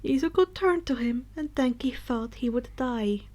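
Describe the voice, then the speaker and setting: A woman's voice: high-pitched, moderate pace, warm tone. A woman narrating fan fiction in a dramatic storytelling style.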